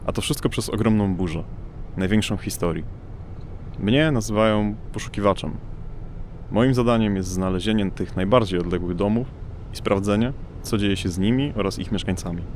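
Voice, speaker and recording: A man's voice: lowish voice, moderate pace, darkish tone, a little hiss or hum, close to an everyday voice. A man acting as a weary, solitary searcher of storm-ravaged homes in an audio drama. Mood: grim, weary.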